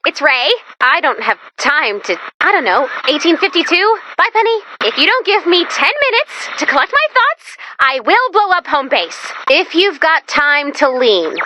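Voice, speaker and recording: A woman voicing an excitable, anxious, sarcastic female robot helper in a video game, perky and dramatic in manner. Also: high voice, moderate pace, few pauses, background noise. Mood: frazzled. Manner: perky, neurotic, self-deprecating, dramatic. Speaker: video game mission-giving sidekick. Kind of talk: animated video game voice acting.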